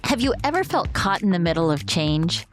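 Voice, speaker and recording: A woman's voice: lowish voice, very animated, a little fast, over music. A woman hosting a leadership podcast, reading a scripted intro and chatting with a guest in an upbeat, enthusiastic way. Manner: upbeat, enthusiastic, warm questions. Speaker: podcast host and coach. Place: home office, video call.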